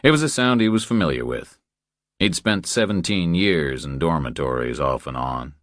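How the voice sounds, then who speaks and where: A man with a low, deep voice narrates a thriller audiobook. The delivery is scripted storytelling, and the recording is dry, with no room sound around the voice. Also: expressive, moderate pace, fairly bright tone, dry recording.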